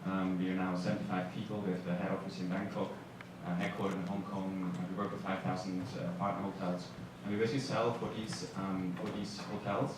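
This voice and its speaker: Low-pitched Swiss-born male panelist, hesitant delivery, caught from afar in a booming hall. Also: little pitch movement, fairly quick, hardly any pauses. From Switzerland. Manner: hesitant, many fillers. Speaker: startup executive on a panel. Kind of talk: panel introduction. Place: conference hall. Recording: echoey hall from far off, noisy.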